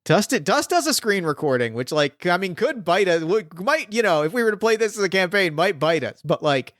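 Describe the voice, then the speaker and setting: A man's voice: sits high, big pitch swings, brisk, a bit bright, dry recording, clean studio sound. A man narrating as game master in a casual, hesitant way with many restarts.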